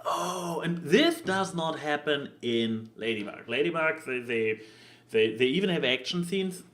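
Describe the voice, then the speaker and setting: A man co-hosting a podcast, talking casually and opinionatedly about cartoons in a halting way, repeating words as he makes his point. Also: on the high side, animated, fairly bright tone. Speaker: podcast co-host.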